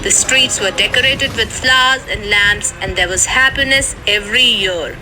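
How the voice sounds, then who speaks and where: A woman's voice: mid-range voice, normal speed, bright, forceful tone, faint music behind, low-quality recording. A woman narrating a scripted story for children as a storyteller, in voice-over.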